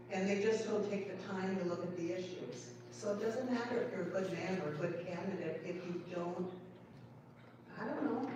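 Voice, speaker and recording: A far-off, heavily echoing recording of an American woman. She speaks up from the audience at a public meeting in an informal way. Her manner is plain and insistent, with noise around her. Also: medium pitch. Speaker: audience member. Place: indoor town hall meeting, away from the mic. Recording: very echoey room, far mic, noisy.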